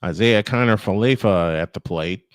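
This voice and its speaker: A man's voice: fairly low pitch, normal speed, clear, resonant tone, no echo, clean studio sound. A man doing live baseball play-by-play, mixing commentary with casual banter as host.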